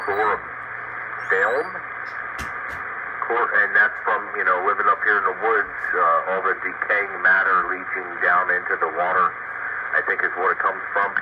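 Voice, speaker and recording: A man's voice: deep voice, little pitch movement, measured pace. A man chatting casually over two-way radio. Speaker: pipe fitter chatting on radio. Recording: muffled, very noisy two-way radio.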